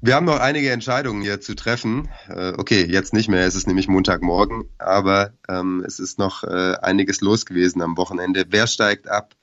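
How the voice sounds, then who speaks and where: A man with a cold, hoarse, hosting a casual sports podcast and hesitating with many filler sounds. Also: on the low side, a bit bright, muffled recording. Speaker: podcast co-host.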